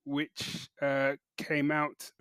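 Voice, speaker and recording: A man with a slightly raised pitch co-hosts a podcast. He speaks in relaxed everyday banter, and the recording is very dry, free of any room sound. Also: extremely slow, clean tone, no room sound. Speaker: podcast co-host. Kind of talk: casual banter.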